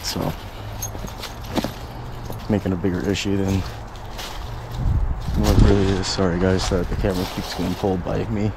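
Low-voiced man vlogging outdoors, noisy phone audio. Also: level tone, moderate pace, long pauses. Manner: rambling, casual, swears, talks to camera while walking a dog. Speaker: vlogger exploring places. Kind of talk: casual walking vlog. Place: woods outdoors.

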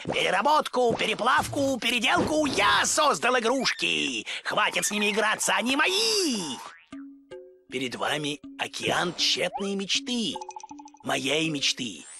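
A man voicing a pompous, jealous mad scientist in a game dub, ranting theatrically and shouting about his inventions. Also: sits high, big pitch swings, unhurried, creaky, music in the background, heavily acted. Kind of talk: Russian game dub acting.

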